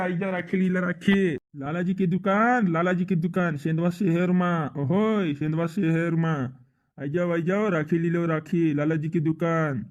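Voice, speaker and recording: A man's voice: high voice, natural voice. A man voicing a pushy, cheerful market stall seller in a comedy cartoon, chanting repeated sales calls with a rural western Madhya Pradesh accent. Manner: repetitive hawking chant. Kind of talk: cartoon street-vendor calling.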